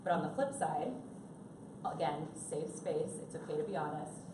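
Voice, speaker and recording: A woman's voice: low-pitched, lively intonation, moderate pace. A woman in her thirties giving a chatty, upbeat workshop talk to a live audience without a microphone. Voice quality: loud, projecting voice. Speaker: conference presenter. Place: conference room with an audience. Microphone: no personal mic, recorded from a distance. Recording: echoey room from far off, noisy.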